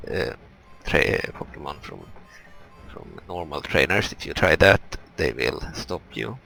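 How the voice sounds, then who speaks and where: Very deep, gravelly man doing gaming commentary. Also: bright, forceful tone, poor audio. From Europe. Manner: halting, rambling, explanatory. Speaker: gaming video host. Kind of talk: casual let's play commentary.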